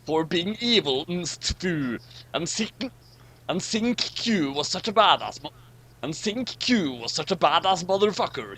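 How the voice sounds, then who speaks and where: A man's voice: on the high side, bright, forceful tone, breathy edge. A man doing a dramatic, comic reading of badly written fan fiction, often stumbling over the wording.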